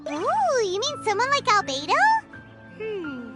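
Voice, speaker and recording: A woman voicing a small, childlike, perky and curious fairy companion in a video game, squeaky and excitable. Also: a little slow, soft background music, heavily acted. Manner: excitable, refers to self in third person.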